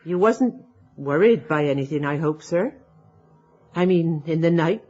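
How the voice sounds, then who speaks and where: An actor playing a superstitious older working-class English housekeeper, homely and deferential, in a radio drama. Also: male voice, a little high, animated, measured pace, darkish tone, faint music behind. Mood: concerned. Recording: dull lo-fi old radio recording.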